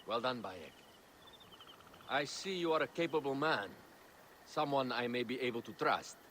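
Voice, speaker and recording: An actor playing a shrewd, formal political ally of a queen in a historical video game, speaking with measured authority. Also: mid-range voice, lightly acted. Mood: approving, guarded. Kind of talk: cinematic historical game acting. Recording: game audio under clear background music. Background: music.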